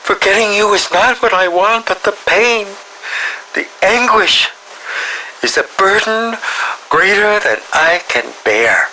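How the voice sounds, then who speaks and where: An actor voicing a melodramatic, sinister film director villain in a video game, drawing out his lines and dropping into breathy whispers. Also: sits high, animated, bright, forceful tone, a little hiss or hum, full character voice, rough recording. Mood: anguished mourning. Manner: melodramatic, drawn-out delivery with breathy whispered drops. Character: theatrical, sinister mad male film director villain, grieving and menacing. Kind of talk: scripted game villain monologue.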